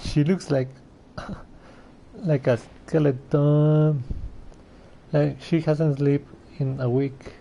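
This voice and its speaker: A man's voice: fairly high pitch, steady tone, very slow, vocal fry, warm tone, dull audio, a little hiss or hum. A man giving casual commentary while streaming a video game.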